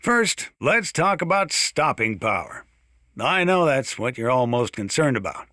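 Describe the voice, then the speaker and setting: A man's voice: medium pitch, sing-song, unhurried, sharp tone, no room sound, clean studio sound. A man playing a folksy, gun-loving small-town sheriff in a video game, chatting boastfully in a conversational radio-style monologue. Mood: chatty, matter-of-fact.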